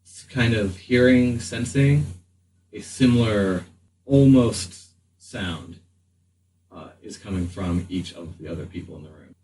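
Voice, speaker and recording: Low-voiced man narrating from a distance. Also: unhurried, mellow. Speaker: game master. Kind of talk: narrating a tabletop game. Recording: distant mic, faint room noise.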